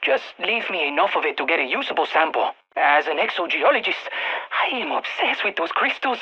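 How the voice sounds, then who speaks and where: Game role: excitable scientist, Spanish accent, very animated, husky, slightly muffled radio sound. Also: male voice, on the high side, a little fast, exaggerated voice. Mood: upbeat, focused. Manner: animated, exclamatory, peppers speech with Spanish interjections. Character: excitable, quirky male exogeologist supporting a military strike team. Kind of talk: video game voice acting, mission radio callouts.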